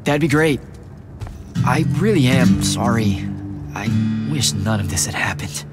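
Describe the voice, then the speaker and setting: A man's voice: medium pitch, lively intonation, a little slow, natural voice. A man playing an earnest, uneasy young hero in a video game, speaking casually and a little hesitantly. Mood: apologetic. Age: young adult. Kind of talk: video game cutscene acting. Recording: loud music over the voice.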